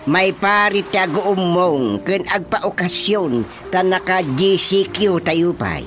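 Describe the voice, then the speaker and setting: A man's voice: high-pitched, slow. A man with an Ilocano accent playing a blustering village chairman and father in a radio comedy drama. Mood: blustering, joking. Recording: radio drama with music bed underneath.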